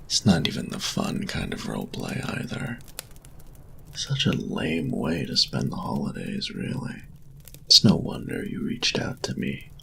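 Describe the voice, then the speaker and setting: Man acting very deep, gravelly, cocky flirty bully. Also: fairly bright tone, slight background noise, exaggerated voice. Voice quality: breathy, gravelly low voice. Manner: slow smug drawl, taunting. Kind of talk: intimate roleplay audio acting.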